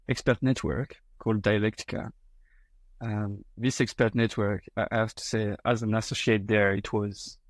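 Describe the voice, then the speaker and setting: A man's voice: lowish voice, no room sound. A man in his thirties with a French accent, a guest on a podcast interview, talking with frequent fillers and restarts. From France. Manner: hesitant, many fillers and restarts.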